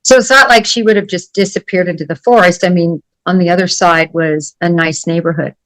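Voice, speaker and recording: Woman, slightly low pitch, very dry sound, unscripted analysis, deliberate, emphatic guest commentator. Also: fairly even intonation, dry recording, poor audio. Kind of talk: unscripted case analysis in an interview.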